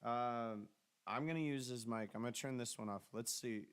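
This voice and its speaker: Man, deep bassy voice, casual joking stream host, mid pitch. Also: normal speed, smooth. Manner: loose, joking, talks about mic setup. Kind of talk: casual chat.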